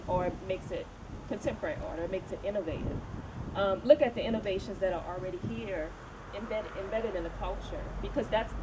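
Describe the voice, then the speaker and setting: Woman panelist on a handheld mic outdoors. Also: medium pitch. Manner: thoughtful, many fillers and restarts. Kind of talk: panel discussion answer. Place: outdoor evening event. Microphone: handheld microphone. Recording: distant camera audio, noisy outdoors.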